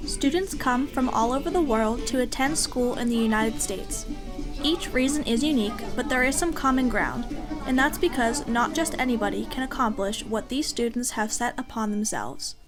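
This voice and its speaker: A young woman with a slightly high voice reads scripted documentary narration. Her delivery is measured. Soft music sits under her. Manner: measured, read-aloud.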